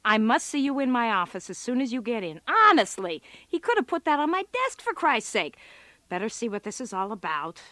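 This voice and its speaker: A woman's voice: a little high, very animated, fairly quick, heavy background noise. An actress playing a sardonic, exasperated female office computer worker in a comic radio drama, given to wry muttered asides.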